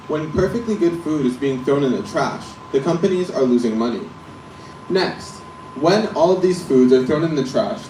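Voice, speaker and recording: Young man from the Netherlands pitching. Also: mellow, slight background noise. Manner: poses questions and then answers them. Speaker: student presenter. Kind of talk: rehearsed business pitch. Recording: echoey room from a distance.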